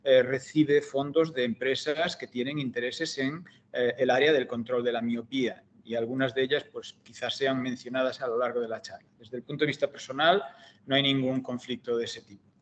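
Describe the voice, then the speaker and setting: A middle-aged Galician man with a mid-range voice lectures over a video call from his computer microphone. His Galician Spanish accent colors a measured, academic delivery. He pauses often on fillers and restarts his phrases as he goes. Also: mid-range voice, fairly even intonation, lo-fi sound, slight background noise. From Galicia, Spain. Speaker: guest lecturer, optometry researcher. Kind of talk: academic seminar talk.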